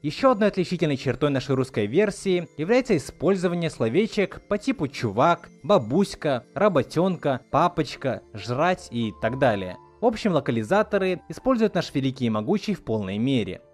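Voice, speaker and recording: A man's voice: a little high, big pitch swings, fairly quick, hardly any pauses, faint music behind. A man hosting a video series, reading a scripted video essay. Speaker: host of a video series on film dubbing.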